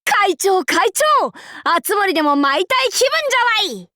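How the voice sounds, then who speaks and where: A woman's voice: very high-pitched, lively intonation, normal speed, pushed and bright, no room sound, clean studio sound, exaggerated voice. A woman voicing a boisterous female demon king warlord in a Japanese anime game, speaking in a haughty, archaic, theatrical way. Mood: triumphant, boastful. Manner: haughty old-fashioned lordly speech, theatrical battle cries.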